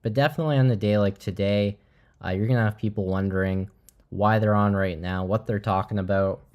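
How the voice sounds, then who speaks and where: Casual, halting man hosting a livestream. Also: lowish voice, steady tone, darkish tone. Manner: halting, thinking aloud. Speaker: livestream host.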